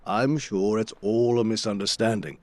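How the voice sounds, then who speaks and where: A man playing a genial, slightly flustered gentleman, a detective's loyal companion, speaking politely and earnestly in an audio drama. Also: lowish voice, moderate pace, no echo, close to an everyday voice. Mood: bumbling, polite.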